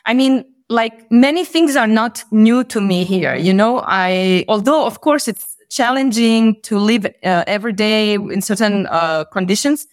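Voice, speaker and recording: A woman from Bosnia speaking as a podcast guest, answering conversationally in a reflective, halting way and often rephrasing as she goes. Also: medium pitch.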